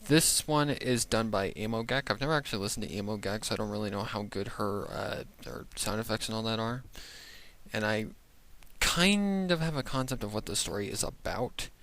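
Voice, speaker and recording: Low-voiced man reacting casually. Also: a little fast, fairly bright tone. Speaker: reaction video host. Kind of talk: casual off-the-cuff reaction commentary.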